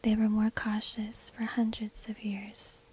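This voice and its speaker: A woman whispers a scripted story for ASMR, extremely breathy and without voicing. Her delivery is slow and deliberate, with very little melodic movement, as she narrates. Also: medium pitch, completely flat delivery, a little mellow. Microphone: close binaural microphone.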